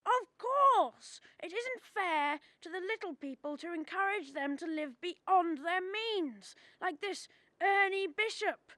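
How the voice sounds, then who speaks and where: A woman's voice: extremely high pitch, animated, slow pace, pushed and bright, dry recording, clean studio sound, heavily acted. A young woman in a radio drama, playing a stern, scornful businessman in a pompous, emphatic manner. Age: young adult. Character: stern, scornful businessman demanding to shut a company down. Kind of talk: student radio drama acting.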